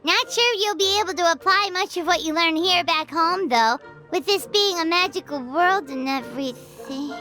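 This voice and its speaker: A woman voicing a tiny, excitable, chirpy fairy girl in an English anime game dub, with an American accent. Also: extremely high pitch, moderate pace. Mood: chirpy, curious. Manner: perky, cartoonish, refers to herself by name. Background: game music.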